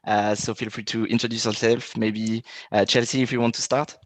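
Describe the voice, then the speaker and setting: A man hosting an online information session, halting with frequent "uh" before phrases. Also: on the low side, level tone, no room sound. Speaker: webinar host. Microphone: video call.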